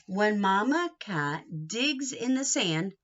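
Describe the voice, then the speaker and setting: Lady doing a kids read-aloud, bright sing-song voice, asks the little ones questions, bit muffled lo-fi audio. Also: medium pitch, takes it slowly. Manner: expressive, sing-song, coaxing with questions to children. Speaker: read-aloud teacher for young children. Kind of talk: interactive picture-book read-aloud for young children.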